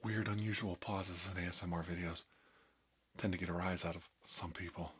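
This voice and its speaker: A man presenting a tongue-in-cheek ASMR tutorial as a mock doctor, speaking slowly and deliberately with a deadpan delivery. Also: low voice, breathy edge. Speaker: ASMR video host.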